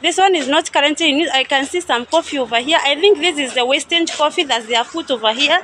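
Chatty woman, high voice. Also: fast, a bit bright, a little hiss or hum. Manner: chatty, unsure, thinking aloud. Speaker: vlogger touring a coffee farm. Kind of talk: casual guided walk-through. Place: outdoors at a coffee farm and factory. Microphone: phone camera held by speaker.

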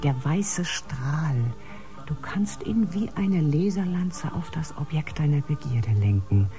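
Dramatic, grave ship's doctor. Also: female voice, low voice, expressive, slow, mellow, soft background music, exaggerated voice. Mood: brooding. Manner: dramatic, shifting from brooding to urgent. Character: agitated female ship's doctor, grave and urgent. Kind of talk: science-fiction radio drama acting.

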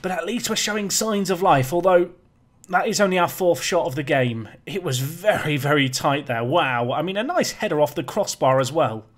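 A man talking casually over a football management game, joking and self-deprecating as he goes. His voice sits a touch high for a man and sounds slightly raspy and worn today. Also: normal speed. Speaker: gaming YouTuber.

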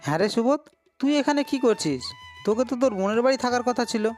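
A man as an anxious, high-voiced village friend. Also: big pitch swings, very fast, darkish tone, faint music behind. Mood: surprised, informing. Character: concerned male village friend, familiar and urgent. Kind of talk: Bengali moral-story cartoon acting.

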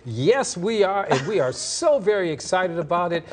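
Middle aged guy anchoring morning news, high voice, very animated intonation. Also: normal speed, a little hiss or hum. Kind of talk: broadcast presenting. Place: TV news studio.

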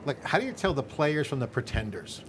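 A man's voice: medium pitch, sing-song, brisk, a bit bright, a little hiss or hum. A middle-aged man hosting a tech interview on a studio set, talking loosely and thinking aloud with restarts. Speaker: host. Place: studio set on a stock exchange floor. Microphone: lapel mic. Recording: clear background ambience.

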